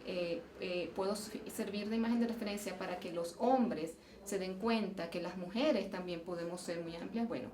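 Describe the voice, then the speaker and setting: Venezuelan woman, thoughtful and halting. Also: lowish voice. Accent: Venezuelan Spanish. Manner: thoughtful, many restarts and repeated words. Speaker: interviewee, geological engineer. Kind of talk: conversational interview. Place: event venue, indoors. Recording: roomy, distant mic, faint noise.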